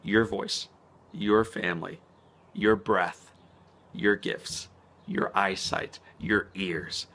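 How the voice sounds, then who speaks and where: A man speaking as a motivational speaker in an inspirational vlog. He talks earnestly and slows down to stress key words. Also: low-pitched, fairly even intonation, pushed and bright. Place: outdoors on a spring morning.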